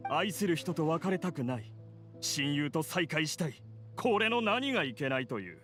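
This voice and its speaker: A man's voice: on the high side, sing-song, fast, a bit bright. A man playing a self-righteous, defiant scholar villain in an anime game. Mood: self-justifying. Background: game music.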